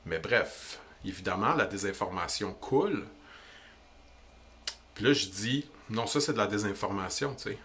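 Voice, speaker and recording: A young man with a Québécois French accent, ranting indignantly into the camera about politics in quick, emphatic bursts. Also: on the low side, animated, fairly bright tone, a little hiss or hum. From Quebec. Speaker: vlogger. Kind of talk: heated political rant.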